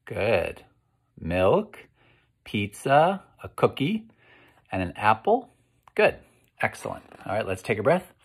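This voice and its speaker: An American man in his fifties reading a picture book aloud to children in a slow, expressive, encouraging way, pausing to ask them questions. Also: on the low side, breathy edge. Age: 50s. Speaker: children's read-aloud host. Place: indoor room at home.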